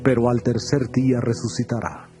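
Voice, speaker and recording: An actor playing Jesus as a calm, earnest teacher, speaking in a solemn, measured tone in a dramatized scripture recording. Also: male voice, mid-range voice, soft background music, lightly acted.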